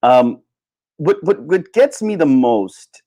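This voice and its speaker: A man hosting a solo livestream in casual chat, rambling and halting as he thinks aloud. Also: a little high, sing-song, dark tone. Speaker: livestream host.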